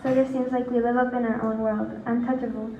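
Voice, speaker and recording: A woman's voice: on the high side, flat delivery, a little fast, warm tone, close to an everyday voice. A woman playing an earnest, apologetic refugee in an amateur student radio drama. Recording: muffled echoey room with crowd babble.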